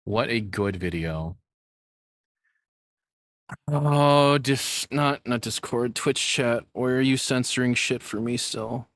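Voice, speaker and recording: A man's voice: medium pitch, slow pace, pauses often, fairly bright tone, no echo, studio quality. A man chatting casually as a livestreamer, rambling and swearing in an informal way. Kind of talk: casual reaction stream chat.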